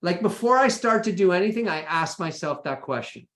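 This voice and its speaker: A man's voice: high voice, lively intonation, brisk, fairly bright tone. An American man presenting an informal, practical session in a conversational way, often checking in with his listeners. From United States. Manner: conversational, checks in with "right?" and "okay?". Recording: echoey room sound.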